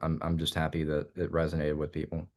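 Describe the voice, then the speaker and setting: A man's voice: extremely low pitch, monotone, fast. A young man, a musician guest, chatting casually in an interview and rambling with many fillers and restarts.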